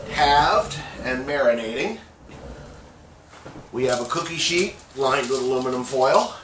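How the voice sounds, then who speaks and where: A man in his fifties talks slowly through a home recipe, chatty and unhurried, with a slightly congested voice. He is recorded on a webcam in a kitchen with a noticeable roomy echo and a faint hum of background noise. His voice sits at an ordinary middle pitch for a man. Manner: slow, unhurried, chatty and homely. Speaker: home cooking host. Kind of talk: casual cooking video.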